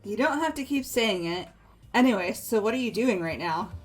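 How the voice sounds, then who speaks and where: A woman's voice: mid-range voice, animated, normal speed, close to an everyday voice. A frank, impatient teenage girl from a visual novel game, played by a woman. Her mid-range voice is direct and exasperated, with music in the background.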